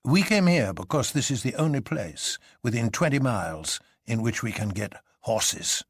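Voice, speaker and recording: A man voicing a sober, courteous elderly French colonel in a measured, formal and deliberate manner. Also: mid-range voice, big pitch swings, husky, no echo, clean studio sound.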